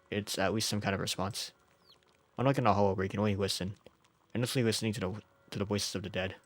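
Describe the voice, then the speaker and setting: A man who is under the weather, streaming a game with informal, jokey commentary and reading out story text. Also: lowish voice. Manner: informal, jokey, often chuckling. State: sick.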